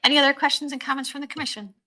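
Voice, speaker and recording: A woman chairing a public commission meeting, her voice slightly raspy today, moving things along in a brisk, procedural way. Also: high-pitched, level tone, sharp tone.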